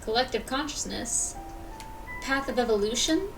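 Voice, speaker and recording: A woman's voice: fairly high pitch, normal speed, creaky. A woman livestreaming a game with casual commentary. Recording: slightly distant mic, faint game music. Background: faint game music.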